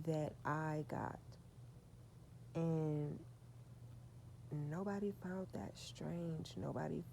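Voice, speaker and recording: A woman, telling a spiritual story in a slow, confiding way that often drops to a whisper. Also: deep voice, gravelly, slight background noise, low-quality recording. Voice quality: soft voice. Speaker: vlogger.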